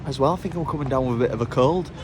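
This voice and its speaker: A man's voice: mid-range voice, rapid-fire, noisy background. A man talking casually to camera as a travel vlogger, chatty and rambling with self-mocking humor.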